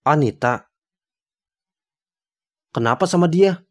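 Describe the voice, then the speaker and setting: A man's voice: on the high side, expressive, takes it slowly. A man voicing a laid-back, teasing young hotel roommate in a cartoon, talking in slang. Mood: curious. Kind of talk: cartoon dialogue, casual slang.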